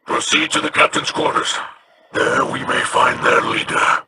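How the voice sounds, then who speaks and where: A man voicing a stern, weathered alien captain in a video game, speaking formally and deliberately with a gravelly voice. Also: medium pitch, completely flat delivery, very noisy, close to an everyday voice. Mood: stern, solemn. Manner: slow, formal, deliberate speech. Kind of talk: video game character acting.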